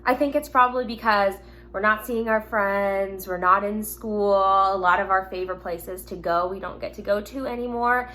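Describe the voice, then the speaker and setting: A woman teacher speaking gently and simply to young children, reading a picture book aloud at home with casual asides. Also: mid-range voice, steady tone, moderate pace. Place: at home in an apartment. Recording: noticeable background noise, home recording.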